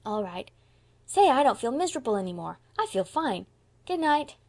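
A woman's voice: a little high, very animated, normal speed, a little mellow, pitched above the performer's own voice. A woman voicing a small mischievous boy in an audiobook, earnest, guilty and blunt, with childish, emphatic grammar slips. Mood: eager. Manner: childish ungrammatical speech, emphatic. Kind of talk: audiobook character voice.

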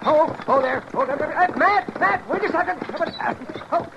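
A crotchety, flustered country doctor in a 1950s radio western. His high voice swoops about as he sputters and grumbles, on a noisy old recording. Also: lively intonation, moderate pace, creaky, lo-fi sound, heavily acted. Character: cantankerous, flustered frontier town doctor.

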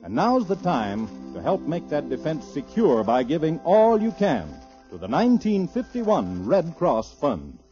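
An American man reads a scripted radio appeal in 1950s style. His pitch is fairly high and animated. Music plays behind the muffled old recording. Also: measured pace, hardly any pauses. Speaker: radio announcer.

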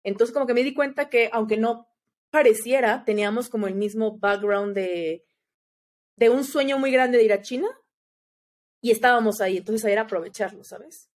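Chatty young Mexican woman, fairly high voice. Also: normal speed. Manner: animated, chatty, often adds "you know?" tags. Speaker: guest. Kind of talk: casual storytelling in an interview.

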